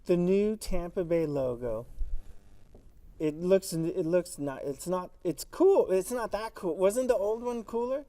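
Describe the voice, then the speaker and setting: A man's voice: high-pitched, animated, measured pace, warm tone. A young man from Chile co-hosting a casual live show, joking and teasing his partner. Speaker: co-host. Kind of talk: casual live-stream banter.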